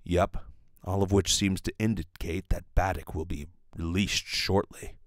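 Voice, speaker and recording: A cool police detective acted by a man speaks in a low, measured voice. He is matter-of-fact and authoritative, like the hero of an old radio serial. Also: fairly even intonation, no echo, studio quality. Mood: calm authority.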